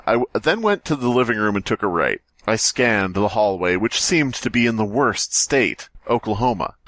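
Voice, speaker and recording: A man's voice: fairly low pitch, fairly bright tone, no echo. A man hosting a comedy podcast, reading horror stories aloud in a joking, mock-dramatic way with casual banter. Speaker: podcast host.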